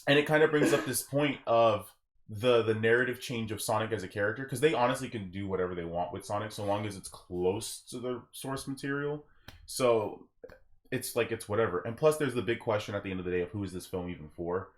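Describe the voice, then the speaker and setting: Male podcast host, casual and animated, given to rambling explanations. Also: fairly low pitch, brisk. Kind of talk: casual gaming podcast discussion.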